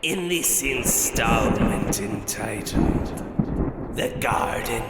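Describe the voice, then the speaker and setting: Slow, cavernous horror host played by a man. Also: medium pitch, animated, creaky, exaggerated voice. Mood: ominous. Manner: ominous, ornate, drawn-out delivery. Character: macabre, grandiose horror anthology host introducing tonight's tale. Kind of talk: theatrical scripted horror introduction. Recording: echoey long hall reverb, crowd noise.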